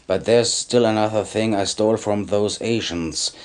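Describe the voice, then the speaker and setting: A man playing a sly, low-voiced arms dealer. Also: fairly even intonation, moderate pace, slight background noise, natural voice. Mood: smug, pitching. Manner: smug, chuckling salesman patter. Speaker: game character actor. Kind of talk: video game cutscene acting.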